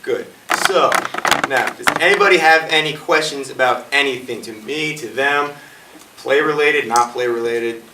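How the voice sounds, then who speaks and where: A man's voice: medium pitch, normal speed. A man in his twenties, a playwright and director, speaking informally and jokily at an audience Q&A. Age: 20s. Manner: rambling, jokey. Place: indoor performance space, recorded from afar. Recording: echoey room, distant mic, noisy.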